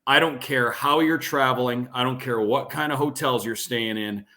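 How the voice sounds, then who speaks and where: A man's voice: medium pitch, moderate pace, bright, forceful tone. A man who works as a play-by-play broadcaster, giving fluent, emphatic sports analysis as an interview guest and building points through repetition.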